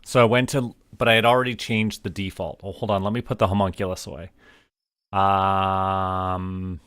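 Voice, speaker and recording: A man chatting casually on a livestream as host, rambling and halting in asides to viewers. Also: low-pitched, little pitch movement, a bit bright, clear, resonant tone, dry recording. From Canada. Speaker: streamer, VTuber host.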